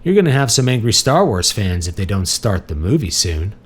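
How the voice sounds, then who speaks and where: A man's voice: lowish voice, very animated, brisk, husky, pitched above the performer's own voice. A man voicing an easygoing man who casually humours a confused relative in an audiobook story. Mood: placating.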